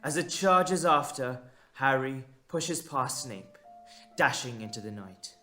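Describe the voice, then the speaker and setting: A man narrating a scripted audio drama in a measured, suspenseful manner, with deliberate dramatic pauses. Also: medium pitch, expressive, pushed and bright, faint music behind.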